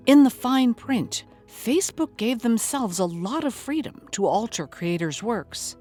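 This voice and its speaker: A woman's voice: fairly high pitch, animated, measured pace, dark tone, soft background music. A woman playing an earnest investigative podcast host, delivering scripted narration in a mock documentary audio drama. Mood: grave.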